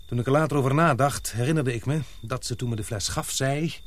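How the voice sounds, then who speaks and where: A man acting in a radio play as a grave, suspicious expedition member, speaking earnestly and deliberately. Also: mid-range voice, lightly acted. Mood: urgent, insistent. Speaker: radio drama actor.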